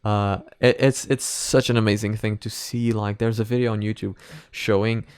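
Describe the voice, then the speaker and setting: A young man giving casual reaction commentary, rambling and halting as he thinks out loud. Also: on the low side, little pitch movement, clear, resonant tone, dry recording, clean studio sound. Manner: rambling, halting, informal swearing. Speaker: reaction video host.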